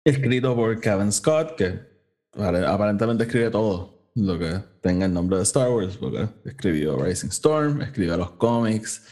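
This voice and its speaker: A man's voice: fairly low pitch, lively intonation, moderate pace, a little mellow. A man with a Puerto Rican Spanish accent hosting a podcast in casual chat, informally mixing in English words. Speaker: podcast host.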